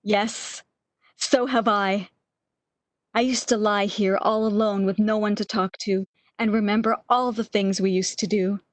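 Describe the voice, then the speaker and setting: A woman's voice: mid-range voice, steady tone, unhurried, no room sound, lightly acted. A woman playing a bedridden, lovesick woman in a radio romance, with breathless, emotional, old-fashioned melodramatic delivery. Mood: wistful. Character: bedridden lovesick woman, wistful, eager, longing for lost love.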